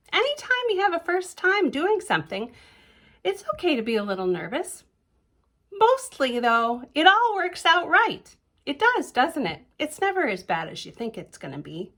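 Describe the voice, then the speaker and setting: High-pitched, very animated woman reading a storybook. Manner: expressive, drawn-out words, dropping to whispers, asks young listeners questions. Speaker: library storytime reader. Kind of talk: children's picture book read-aloud with chatty asides.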